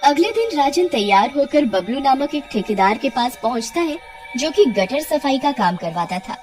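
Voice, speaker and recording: A woman tells a scripted tale as its narrator. Her voice sits fairly high and the delivery is dramatic, with music clearly playing behind her. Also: very animated, moderate pace, a little mellow.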